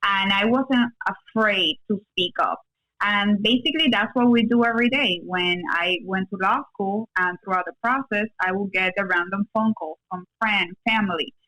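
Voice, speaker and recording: A woman raised in Cuba and Venezuela, with Spanish as her first language, speaks in a conversational interview. She is an attorney who explains things plainly in short, simple phrases, at a middle pitch. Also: normal speed, bright, forceful tone, poor audio. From born in Cuba, raised in Venezuela, in the US since her teens. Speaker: podcast guest, attorney.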